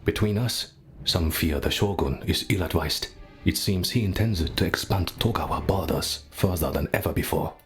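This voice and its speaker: A man's voice: low voice, moderate pace, soft background music. A man playing a grave, discreet imperial envoy in a video game. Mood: confiding, grave.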